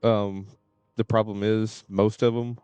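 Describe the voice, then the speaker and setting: Low voiced guy streaming a game, slow halting chat, kinda repeats himself, dry mic. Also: little pitch movement, darkish tone, smooth, no room sound. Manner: halting, repeats words, thinking aloud. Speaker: game livestreamer. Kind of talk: casual live game commentary. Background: faint game music.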